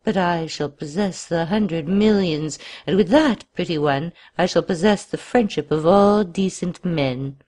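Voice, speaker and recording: A desperate, murderous crippled man, as a woman narrator puts him on, swinging wildly from begging to bellowing threats. The voice sits husky and breathy, pushed up high and loud at its peaks. It comes across as an audiobook reading. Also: a little slow, hardly any pauses. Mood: cold menace. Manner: wild swings from pleading to shouting.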